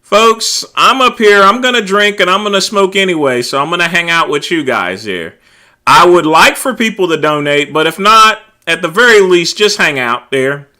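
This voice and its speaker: A middle-aged man hosting a casual late-night livestream, talking loudly and easygoing, chatting with his viewers. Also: high-pitched, lively intonation, moderate pace. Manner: loud, laid-back, drawls filler words like "there". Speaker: livestream host.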